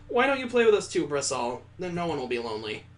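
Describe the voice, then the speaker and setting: A man plays a confident young girl from a visual novel game. She teases in a bouncy, sing-song way, cheeky and sure of herself. The pitch sits a little high for a man. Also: very animated, a little fast, a bit bright. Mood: cheerful, confident. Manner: teasing, bouncy, sing-songy.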